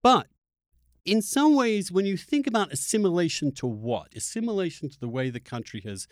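A man hosting a conversational interview, halting and repeating words as he works through an argument. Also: high voice, big pitch swings, no room sound, clean studio sound. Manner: halting, repeats words while arguing a point. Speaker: podcast host.

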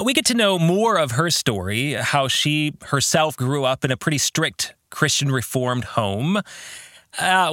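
A man hosting a podcast, giving reflective book club commentary in a deliberate way and putting rhetorical questions to the listener. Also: on the high side, pushed and bright, no room sound, studio quality. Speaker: podcast host and author.

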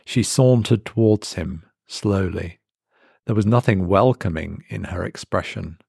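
Low, gravelly male horror narrator. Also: darkish tone, dry recording, clean studio sound. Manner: measured, dramatic delivery in short weighted phrases. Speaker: story reader. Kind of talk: scripted horror story narration.

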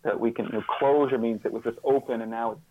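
A fifty-year-old man speaking as an interview guest, reflective and earnest, often halting and repeating words as he thinks. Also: mid-range voice, steady tone, narrow, tinny audio, slight background noise.

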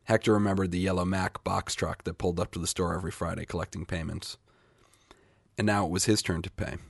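A man's voice: deep voice, steady tone, fast, gravelly, husky, no echo, clean studio sound. A man reading literary short fiction aloud as a scripted narration. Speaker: short story reader.

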